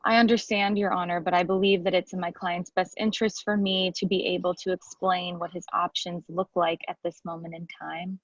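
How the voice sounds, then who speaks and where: A woman reading a composed young female defense lawyer in a table read, calm and explaining. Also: lowish voice, no echo, dull audio, close to an everyday voice. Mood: formal. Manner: measured, explaining calmly.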